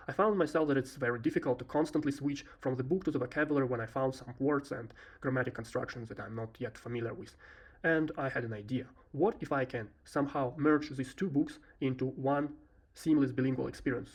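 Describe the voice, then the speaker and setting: A man with a Russian accent talks through a programming demo from a home desk. His voice sits at an ordinary middle pitch, and he explains steps patiently, stopping often on filler sounds as he thinks aloud. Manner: unhurried, explanatory, frequent "uh" fillers. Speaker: software developer presenting a demo. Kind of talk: screen-recorded coding walkthrough.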